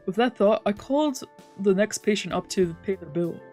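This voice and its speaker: A woman's voice: medium pitch, lively intonation, normal speed, darkish tone, soft background music. A woman streamer narrating a visual novel aloud in a let's play, reading dramatically and sometimes stumbling over words.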